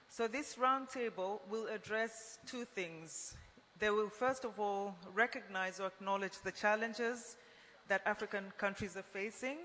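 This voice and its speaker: A woman chairs a formal roundtable at a medium pitch. Her voice rings through a big hall, with some room noise around it. She speaks as a moderator addressing delegates. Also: bright, forceful tone. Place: large indoor conference hall. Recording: echoey hall, noisy room ambience.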